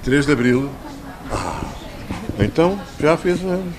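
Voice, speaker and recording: An older man with a European Portuguese accent and a slightly hoarse voice, talking to schoolchildren as an author, conversational and didactic, repeating phrases for emphasis into a handheld microphone. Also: a little high, sing-song, a little slow. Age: 60s or older. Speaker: children's author. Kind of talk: talking and telling stories to schoolchildren. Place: covered outdoor tent in a town square.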